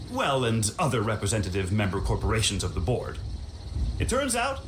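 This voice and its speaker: A man's voice: medium pitch, slight background noise. An actor playing a cheerful male orchard worker for a company, talking in folksy, overly upbeat sales patter. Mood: defensive.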